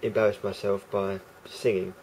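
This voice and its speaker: A man with a croaky voice talking casually to camera as a vlogger, rambling and hesitant with many fillers and restarts. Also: fairly low pitch, little pitch movement, moderate pace, a little mellow, a little hiss or hum, low-quality recording.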